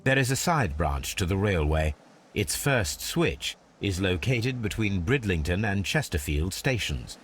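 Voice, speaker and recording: Brilliant British gentleman sleuth for a game: low, measured, analytical, aloof, faint noise behind. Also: big pitch swings. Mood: observing. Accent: British English. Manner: precise, measured, superior, analytical. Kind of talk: video game character acting.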